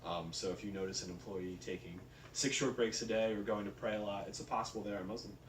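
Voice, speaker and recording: A man's voice: lowish voice, steady tone, fast talker. A man giving an informal spoken presentation, explaining things in a casual, loosely worded way. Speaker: presenter of a research report. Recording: roomy, distant mic, faint background noise.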